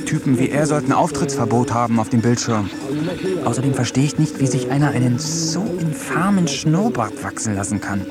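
An actor narrating a radio play as a poet, reading diary-like entries in a wry, introspective way. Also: male voice, mid-range voice, natural voice. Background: music.

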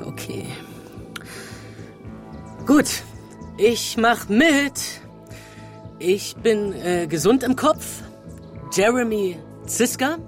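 Radio drama lead: puzzled, snarky schoolboy, slow speech with lively pitch. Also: male voice, full character voice. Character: confused, sarcastic schoolboy waking in a virtual world.

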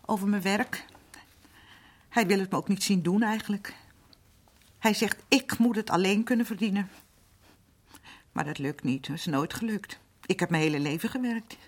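A woman playing a worn-down older working-class housewife and mother in a Dutch radio drama, rambling on in a plaintive, confiding way with a husky voice. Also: medium pitch, moderate pace, long pauses, close to an everyday voice.

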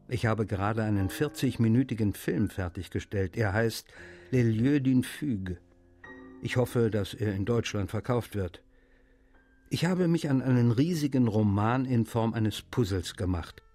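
An actor playing a male writer, reading in a measured, literary way for a radio feature. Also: mid-range voice, a little mellow, close to an everyday voice. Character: French male writer reading his friendly letters aloud.

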